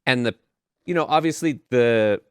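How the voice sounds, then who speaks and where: A man co-hosting a casual podcast, halting as he explains and acting out imagined dialogue in an animated way. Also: medium pitch, clear, resonant tone, no room sound, clean studio sound. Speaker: podcast co-host.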